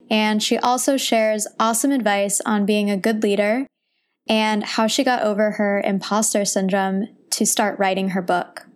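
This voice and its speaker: A woman hosting an interview show in a casual, enthusiastic, conversational way. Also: mid-range voice. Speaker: podcast host and interviewer.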